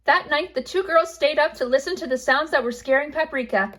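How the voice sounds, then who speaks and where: A woman with a high, light voice narrates a children's story. She reads it out as a simple storybook bedtime tale. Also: brisk, a bit bright.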